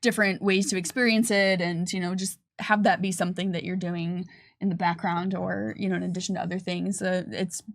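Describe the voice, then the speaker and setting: A woman's voice: on the low side, little pitch movement, fairly quick, creaky. A woman answering questions conversationally on a livestream, explaining at length with frequent hesitations and restarts. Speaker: game developer presenting on a livestream. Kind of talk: unscripted livestream Q&A.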